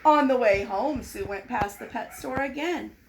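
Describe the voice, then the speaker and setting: A woman reading a children's storybook aloud in an expressive, deliberate, dramatized way. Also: fairly high pitch, rough recording. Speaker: storybook reader. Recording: noticeable background noise.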